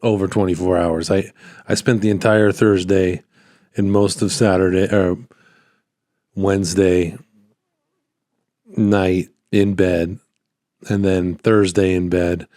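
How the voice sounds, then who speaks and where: A man with a deep, low voice talks casually as a solo podcast host. His voice is somewhat hoarse from a recent illness and falters at times. He rambles in a halting way, repeating phrases, and the sound is dry with very little room echo. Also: level tone, dark tone. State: hoarse, voice cutting in and out after illness. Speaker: podcast host, small business owner.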